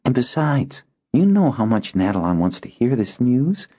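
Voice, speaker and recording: A man's voice: mid-range voice, sing-song, normal speed, mellow, highs cut off, voice pushed up. A man voicing an irritable, scheming young boy, lifting his voice and sounding sulky and sly. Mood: grumbling.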